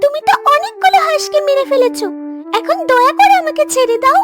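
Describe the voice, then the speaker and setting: A woman's voice: very high voice, expressive, fast. A woman voicing a frightened, plaintive little duckling in a cartoon. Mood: pleading. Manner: pleading, plaintive. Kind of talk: cartoon dialogue. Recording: music behind the voice.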